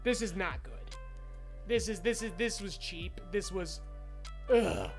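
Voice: medium pitch, unhurried, music in the background. An opinionated podcast co-host casually reviewing a film, speaking emphatically and swearing freely.

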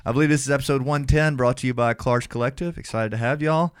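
A man's voice: medium pitch, steady tone, fast, clear, resonant tone, no echo, studio quality. Upbeat joking man hosting a podcast. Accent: Southern US. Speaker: podcast host. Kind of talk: casual interview chat. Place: small indoor studio with several mics.

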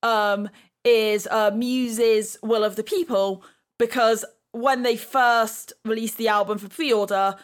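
A woman's voice: on the high side, fairly even intonation, slow. A woman co-hosting a podcast in a casual, chatty style. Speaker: podcast co-host.

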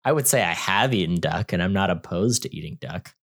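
A man with a very deep, low voice co-hosts a podcast in easy casual chat. He rambles through fun facts with plenty of fillers and restarts, slipping in dry jokes as he goes. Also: animated, fairly quick. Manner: wry, joking. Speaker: podcast co-host.

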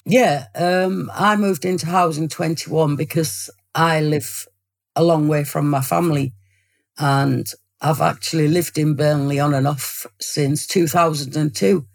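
A speaker in their fifties with a Yorkshire accent, chatting in a lively way as a podcast guest, often restarting sentences. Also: medium pitch, measured pace, gravelly. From Yorkshire, England. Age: 50s. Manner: lively, talkative, hesitant restarts. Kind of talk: casual chat.

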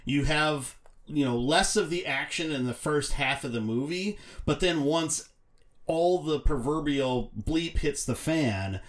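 A man's voice: on the high side, measured pace. A man on a podcast panel talking casually and loosely about films, rambling and correcting himself as he goes. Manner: rambling, self-correcting, hesitant phrasing. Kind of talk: casual movie discussion.

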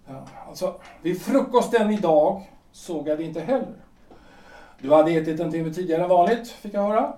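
An actor playing a stiff, reproachful husband in his forties in a period audio drama, halting and formal in delivery. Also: sits high, animated, warm tone, a little hiss or hum. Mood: hurt, formal. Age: 40s. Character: Gustav, stiff, reproachful 43-year-old lawyer husband. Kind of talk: period stage-play audio drama acting. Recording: echoey room, far from the mic.

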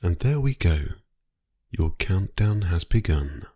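Male sleep-meditation narrator, low-pitched and slightly husky, soothing and hypnotic, unhurried phrasing. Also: no room sound, studio quality. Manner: calm, soothing, unhurried, with long pauses.

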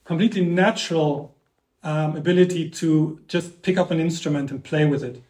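A man's voice: fairly high pitch, moderate pace, a little mellow. A man whose first languages are Dutch and German, co-hosting a podcast and asking long, winding questions with many ums. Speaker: podcast co-host. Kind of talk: casual music discussion. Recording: echoey room.

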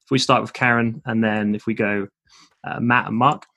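A man's voice: fairly low pitch, fairly even intonation, moderate pace, gravelly, pushed and bright. A man hosting a podcast, giving a scripted introduction and politely handing the floor to his guests. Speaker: podcast host.

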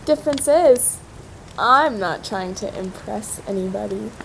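A woman's voice: mid-range voice, animated, unhurried, vocal fry, a bit bright, a little hiss or hum, low-quality recording. A woman playing a cruel, hungry cannibal captive who savors her menacing taunts in an audio drama. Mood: taunting.

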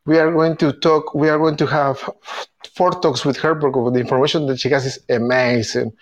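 A man's voice: on the high side, fairly even intonation, dull audio. A man from Argentina with an Argentinian accent, speaking English as a second language, enthusiastically hosting a casual chat about tango. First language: Spanish. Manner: enthusiastic, halting non-native phrasing with restarts. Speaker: tango event organizer and host. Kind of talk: casual group video chat.

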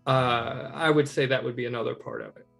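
Gravelly man thinking aloud. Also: mid-range voice, fairly even intonation, fairly quick, a bit bright, soft background music. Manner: thoughtful, hesitant, repeats words while thinking. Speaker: podcast co-host. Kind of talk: casual conversation.

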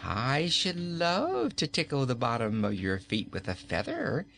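A man's voice: medium pitch, sing-song, measured pace, gravelly, fairly bright tone, faint music behind, voice pushed up, heavily acted. A man reading a storybook aloud, voicing a cruel queen who teases and gives orders in an imperious way. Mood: teasing. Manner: teasing, imperious. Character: cruel, mocking queen, a woman. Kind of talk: audiobook character voice.

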